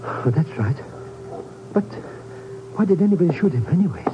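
An excitable little hustler of a radio sidekick, played by a man, higher voiced and swinging all over the place. He garbles his slang comically, on a noisy old 1950s broadcast recording. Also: moderate pace, muffled recording, natural voice. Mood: baffled, alarmed. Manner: slangy, muddled malapropisms. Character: comic, streetwise, excitable Casablanca sidekick with fractured English. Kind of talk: 1950s radio drama acting.

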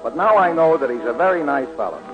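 A streetwise, luckless gambler , acted by a man, spins his story in slangy radio-comedy style. His voice sits fairly high for a man. The sound is a dull vintage broadcast with clear music. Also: animated, quick pace, natural voice. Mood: wry narrating. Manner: streetwise, ungrammatical, colloquial storytelling. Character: down-on-his-luck wisecracking horse-betting gambler narrating his tale. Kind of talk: 1940s radio comedy acting. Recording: muffled old 1940s radio broadcast, music.

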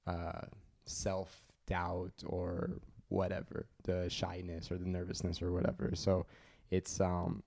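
A man's voice: low-pitched, vocal fry, warm tone. A man hosting a casual interview, talking in an easygoing way with fillers and repeated words. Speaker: podcast host.

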